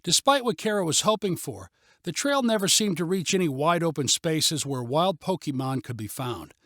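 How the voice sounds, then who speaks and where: A man's voice: a little high, lively intonation, normal speed, a bit bright, dry recording, clean studio sound. A man narrating a story in a scripted audiobook style.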